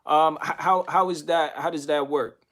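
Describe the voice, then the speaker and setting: Man hosting a halting casual interview. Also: on the high side, steady tone, fairly bright tone. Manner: hesitant, many restarts and fillers. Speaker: radio show host.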